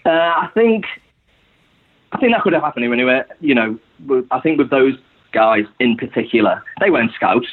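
A young Scouse man from Liverpool chats as a podcast guest, his speech looping through fillers and restarts. His voice is husky and a little breathy. The sound comes through thin and tinny, like a phone call. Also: mid-range voice, lively intonation, normal speed. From Liverpool, England. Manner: rambling, full of "you know" fillers. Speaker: guest supporter. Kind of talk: casual podcast conversation.

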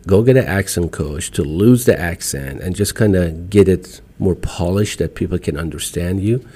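A man's voice: deep voice, normal speed, dark tone, slightly rough. A man with an Iranian accent, a native Farsi speaker, talking as a podcast guest in an emphatic, motivational way. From Iran. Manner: emphatic, motivational, repeats short phrases. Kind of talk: casual interview talk.

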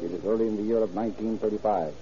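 A man announcing on 1930s radio in a polished, formal, scripted style that suits sponsor pitches and narration. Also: fairly even intonation, rapid-fire. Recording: muffled old 1930s radio broadcast recording.

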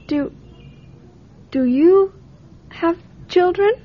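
Very high-voiced, earnest little girl. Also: very slow, full character voice. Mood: curious, gentle. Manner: earnest, questioning, simple childlike phrasing. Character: trusting, curious, imaginative nine-year-old girl. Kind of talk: radio drama acting. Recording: muffled radio drama recording.

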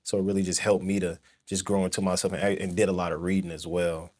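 Deep-voiced young man, easygoing and rambling. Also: fast talker. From St. Louis, Missouri. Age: young adult. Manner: relaxed, rambling, many fillers like "you know". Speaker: guest, former football player. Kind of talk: casual interview conversation.

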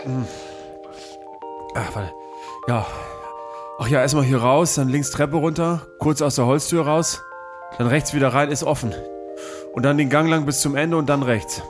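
A man's voice: medium pitch, measured pace, long pauses, over music, lightly acted. A man playing a sleepy, hungover man who mumbles terse answers in a comic radio play. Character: sleepy, drowsy hungover man giving muddled directions.

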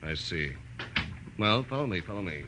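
Low-voiced, reserved gentleman. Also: expressive, moderate pace. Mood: guarded. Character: stiff, reserved man of a wealthy household. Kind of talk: 1940s radio drama acting. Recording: very noisy old radio recording.

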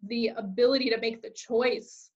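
A woman's voice: a little high, steady tone, moderate pace, darkish tone. A woman hosting a conversation, speaking thoughtfully and a little hesitantly, often restarting her sentences. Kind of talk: conversational interview. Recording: dull lo-fi sound.

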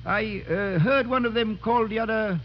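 An actor playing a shaken foreign laboratory worker in a classic radio drama, formal and hesitant, stumbling over words. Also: male voice, high-pitched, moderate pace. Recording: dull vintage radio recording.

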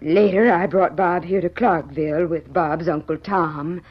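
A woman's voice: lowish voice, steady tone, unhurried. A middle-aged woman playing a kindly, fussing frontier mother in an old radio drama. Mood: wistful. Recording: muffled old radio recording.

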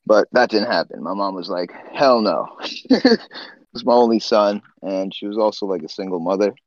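Podcast guest, man from Nepal, deep voice, easygoing, laughs as he talks, dry lo-fi recording. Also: moderate pace, no echo. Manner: laid-back, laughs often, trails off with "uh" and "you know". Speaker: guest, swimbait builder. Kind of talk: casual storytelling interview.

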